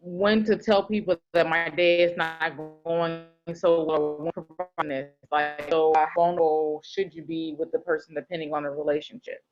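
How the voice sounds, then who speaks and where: A woman's voice: sits low, flat delivery, normal speed, hardly any pauses, lo-fi sound, soft background music. A woman on a video call asking a question hesitantly, with many fillers. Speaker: audience member asking a question.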